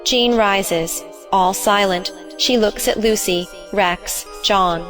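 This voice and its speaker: A woman reading stage directions as scripted narration, measured and matter-of-fact. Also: mid-range voice, a bit bright, faint music behind.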